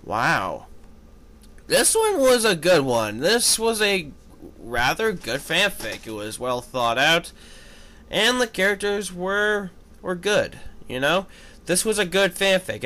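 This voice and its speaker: A man reading fan fiction aloud as an amateur, halting and correcting himself, with casual commentary. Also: a little high, sharp tone. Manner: halting, stumbles over words and corrects himself.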